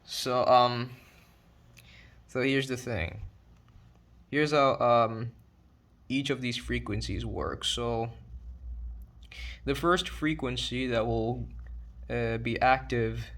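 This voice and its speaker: A man's voice: mid-range voice, fairly even intonation, slow pace, pauses often, fairly bright tone. A man narrating an informal explainer video as its creator.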